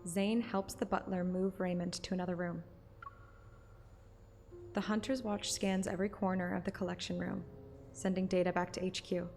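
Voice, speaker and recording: A woman's voice: on the low side, little pitch movement, moderate pace, creaky, long pauses, a little mellow, faint music behind. A woman narrating a story like an audiobook, with casual streamer asides. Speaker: streamer reading a game story aloud.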